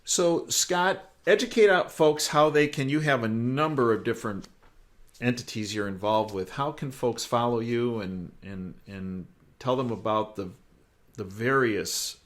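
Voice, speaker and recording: An American man hosting a current-affairs interview in a conversational style, with measured, emphatic phrasing. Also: medium pitch. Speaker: interview host.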